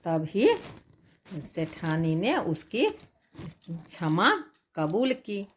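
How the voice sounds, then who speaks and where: Very slow woman storyteller. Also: fairly low pitch, animated, slight background noise. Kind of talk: folk tale narration for animation.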